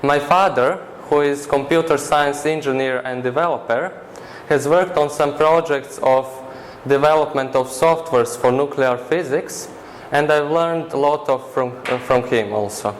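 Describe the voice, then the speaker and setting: A man's voice: a little high, a little hiss or hum, low-quality recording. Earnest Croatian-accented teenage boy. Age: teens. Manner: earnest, measured, halting non-native phrasing. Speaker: interview guest. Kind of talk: interview answers.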